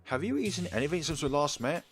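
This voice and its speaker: A man's voice: fairly high pitch, very animated, fast talker. A man doing let's play commentary, reading visual novel text aloud. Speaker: gaming YouTuber. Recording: game music behind the voice. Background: video game music.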